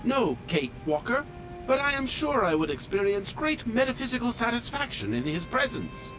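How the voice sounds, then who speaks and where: A man's voice: very high voice, sing-song, moderate pace, music in the background, low-quality recording. A male actor voicing a fussy, polite automaton train engineer in a video game, with formal, precise, pedantic diction. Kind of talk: video game character dialogue.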